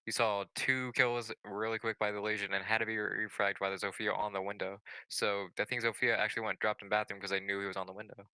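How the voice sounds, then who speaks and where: A man's voice: on the low side, level tone, quick pace, few pauses, bright, forceful tone, clean tone, dry recording, poor audio. A man working as an esports analyst, giving conversational, opinionated commentary on a live video game match.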